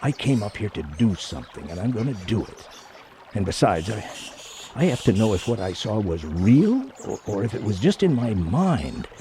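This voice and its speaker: A man's voice: on the low side, sing-song, moderate pace, warm tone. A man acting an earnest, determined character haunted by a ghost in an amateur radio play. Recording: clearly noisy, trickling water behind.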